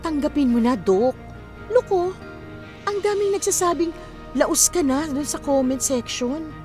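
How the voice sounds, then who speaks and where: An actress playing an excitable, gossipy female clinic assistant who exclaims urgently in a Filipino radio drama. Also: high voice, unhurried. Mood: pushy. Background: faint background music.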